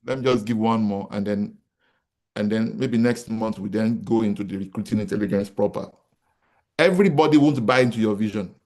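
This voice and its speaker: A man's voice: lowish voice, fairly quick, darkish tone. A Nigerian man in his forties, a company founder giving a motivational business talk to an audience, who repeats his key points and asks rhetorical questions. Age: 40s. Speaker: real estate company founder speaking to an audience.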